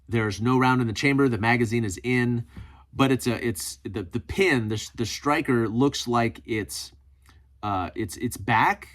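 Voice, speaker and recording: Man, lowish voice, informal vlog host, self-deprecating humor, casual comment-reading style. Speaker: host of a preparedness video channel.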